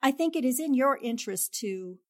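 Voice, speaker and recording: A woman's voice: sits high, animated, brisk. A woman playing a poised, tactful newspaper columnist, articulate and polite, in 1940s radio drama style. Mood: polite, reassuring.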